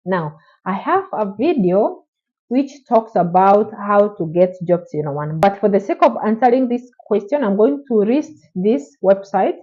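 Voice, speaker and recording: A woman's voice: mid-range voice, sing-song, unhurried. Female vlogger, encouraging and direct, slightly muffled recording. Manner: encouraging, repetitive, direct address. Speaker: vlogger answering viewer questions. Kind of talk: casual advice vlog. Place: Oman.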